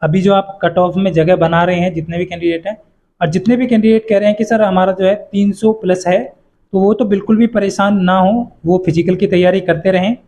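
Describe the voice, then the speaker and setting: A man's voice: high-pitched, fairly even intonation, brisk, a little mellow. A man giving an informal explainer to exam candidates, instructive and reassuring, often repeating his points. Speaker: exam update presenter.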